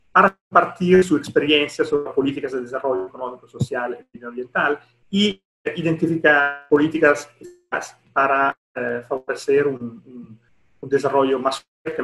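A man's voice: fairly high pitch, fairly bright tone. A middle-aged man from Italy with an Italian accent gives a formal presentation in a non-native language, often searching for words, on a headset in a video call. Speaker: deputy director at an international organisation, presenting. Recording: roomy echo on video call.

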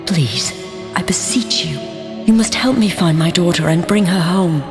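Actress as a sorrowful low-voiced medieval mother. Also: moderate pace, music in the background, close to an everyday voice. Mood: pleading. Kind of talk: letter narration in game cutscene. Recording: long reverb.

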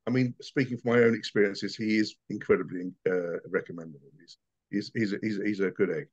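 A man's voice: fairly low pitch, fairly even intonation, a little fast, no echo. A middle-aged man co-hosts a podcast on a video call, teasing the others and often restarting his sentences. The call audio is dull and lo-fi, and completely dry. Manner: stumbling, teasing banter. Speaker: podcast co-host. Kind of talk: casual podcast chat.

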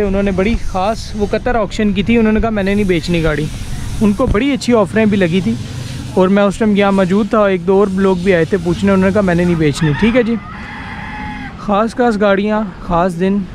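A man's voice: high voice, a little mellow. A man with a Pakistani Urdu accent casually walking through an outdoor workshop yard, pointing out vehicles in a chatty tour. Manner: chatty, pointing things out, frequent "ji" and "dekh rahe ho". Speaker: vlogger touring a vehicle workshop. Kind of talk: casual walk-and-talk tour. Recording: outdoor street noise behind voice.

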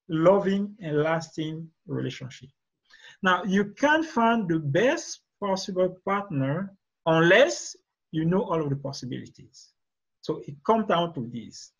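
A man with a Cameroonian accent speaks as a guest expert in an interview. His voice is high for a man and husky, and the video call audio is dull and lo-fi. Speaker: guest author and relationship expert. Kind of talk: interview answers, explaining.

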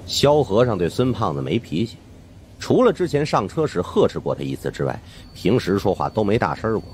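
A man's voice: on the low side, big pitch swings, brisk, darkish tone, a little hiss or hum. A man narrating a suspense audiobook in the first person, reading from a script.

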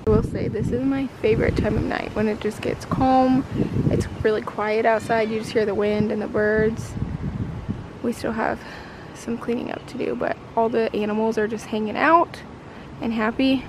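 A woman's voice: on the high side, flat delivery, normal speed, heavy background noise. A young woman chatting casually to camera outdoors in a backyard, in a conversational way sprinkled with "like" and "you know". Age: young adult. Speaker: homesteading family vlogger.

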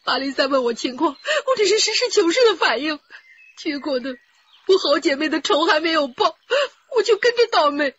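A tearful rose grower wails and pleads with a very high, thin voice. Her sobbing lines come through dull lo-fi broadcast audio, like a radio play. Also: big pitch swings, normal speed, fairly bright tone. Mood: wailing. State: crying. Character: distraught middle-aged woman rose grower, tearful. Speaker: witness in a murder case. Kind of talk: broadcast radio drama acting.

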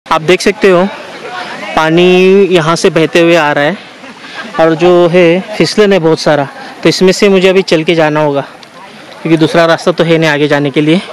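A man, casually narrating a travel vlog as he walks, pointing things out to viewers in an unhurried way. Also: a little high, steady tone. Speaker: travel vlogger. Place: outdoors on a forested trail by a stream and waterfall. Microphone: handheld camera at arm's length. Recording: noisy outdoors with rushing water.

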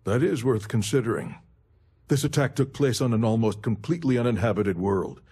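An actor playing a stern, pragmatic older admiral in a video game, speaking in a measured, formal way. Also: male voice, medium pitch, warm tone, breathy edge, lightly acted. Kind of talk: video game character dialogue.

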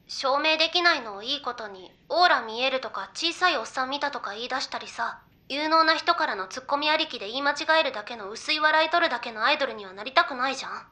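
A woman's voice: sits high, expressive, fast talker, pushed and bright. A woman playing a young female idol in a Japanese anime audio drama, talking in casual, persuasive, girlish banter. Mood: earnest, persuasive.

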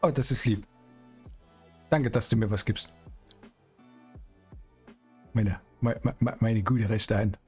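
A man's voice: on the low side, fairly even intonation, takes it slowly, long pauses, a little mellow, breathy edge, soft background music. Chatty man streaming a roleplay game. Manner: chatty, colloquial, playful. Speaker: streamer hosting a GTA roleplay series. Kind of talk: casual gameplay commentary and in-character chat. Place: small indoor streaming room.

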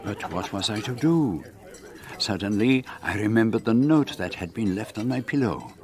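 An actor playing a precise, fussy older detective, narrating and speaking in a measured, formal way. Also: male voice, fairly low pitch, expressive, crowd noise, close to an everyday voice. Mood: wry. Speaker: narrator and lead character. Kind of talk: radio drama narration.

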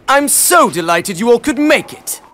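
Casting: dramatic young villain for game cartoon, high voice, slow bitter delivery, music behind. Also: male voice, fairly bright tone, full character voice. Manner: dramatic, embittered. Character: bitter, theatrical young male villain seeking revenge. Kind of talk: animated game cutscene acting.

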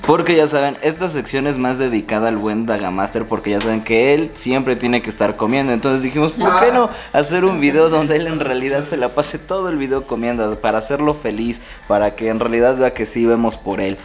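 Teasing Mexican vlog host. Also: male voice, mid-range voice, fairly quick, hardly any pauses, crowd noise. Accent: Mexican Spanish. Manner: teasing, chatty. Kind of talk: casual vlog chat.

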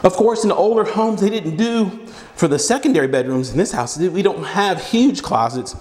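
A man's voice: high voice, lively intonation, normal speed, darkish tone. A man with a Virginian accent, a real estate agent walking through an empty house and explaining its features in a friendly, explanatory way. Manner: friendly, explanatory, corrects himself mid-sentence. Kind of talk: walkthrough home tour narration. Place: empty house with bare walls and wood floors, indoors. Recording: echoey empty rooms.

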